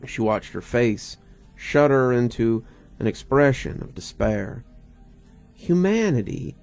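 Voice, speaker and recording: Slow, gravelly male story narrator. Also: mid-range voice, darkish tone. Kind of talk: dramatic scripted story narration. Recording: music bed under narration.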